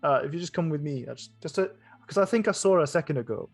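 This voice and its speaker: A man puts on a fussy male stickler for the rules in a tabletop game, speaking with a Scottish accent. He is polite, hesitant and apologetic, in a fairly high voice over music. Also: animated, quick pace, vocal fry, slightly rough, exaggerated voice. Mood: politely flustered.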